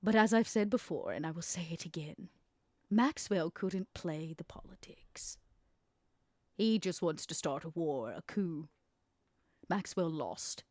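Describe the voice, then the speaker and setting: A woman playing an imperious, ruthless female vampire schemer in a tabletop roleplay, speaking deliberately with dramatic pauses. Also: fairly low pitch, expressive, a little mellow, dry recording, studio quality. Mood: contemptuous, vengeful. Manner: deliberate, dramatic pauses, stressed key words. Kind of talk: in-character tabletop roleplay acting.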